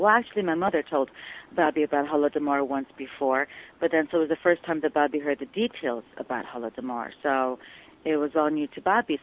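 Give me a Detroit-raised woman, Ukrainian her first language, talking over a tinny phone line. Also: low-pitched. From United States, Detroit. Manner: detailed, storytelling with quoted dialogue. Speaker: film producer, interview guest. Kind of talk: phone interview.

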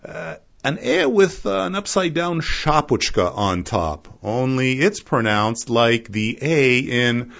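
A man's voice: medium pitch, sing-song, vocal fry, a bit bright. A man from Canada giving a wry, playful scripted radio narration. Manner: wry, playful, slow and deliberate. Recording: dull lo-fi radio broadcast.

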